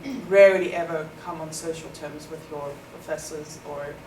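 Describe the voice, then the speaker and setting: South African woman with low voice, echoey. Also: fairly even intonation, normal speed. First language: Afrikaans. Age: young adult. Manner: thoughtful, explanatory, with many ums. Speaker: interviewee, international student. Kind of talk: interview answers. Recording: echoey room, far from the mic, noisy.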